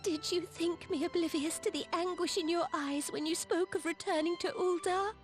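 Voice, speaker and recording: High-voiced, regal young queen. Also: steady tone, moderate pace. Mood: earnest, reproachful. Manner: stately, formal, archaic phrasing. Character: young female sovereign, regal, resolute and earnest. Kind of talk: formal fantasy game dialogue. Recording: orchestral music behind the voice.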